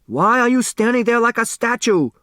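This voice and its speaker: A bossy, excitable father who runs a restaurant, voiced by a male narrator. He shouts his orders high and urgent, with big swoops in pitch, as a storybook character in a dry recording. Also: moderate pace, dry recording, exaggerated voice. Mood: angry. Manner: shouting, commanding.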